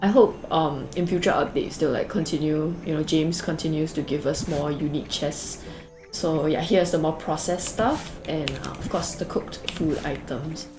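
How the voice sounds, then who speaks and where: A young woman with a Singaporean English accent talks her way through a cozy game tour in a low-pitched voice. Her delivery is loose and chatty, full of fillers and small asides. Faint music plays quietly behind her. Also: moderate pace. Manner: chatty, rambling, many fillers and "like"s. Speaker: gaming video creator. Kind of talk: casual game tour narration.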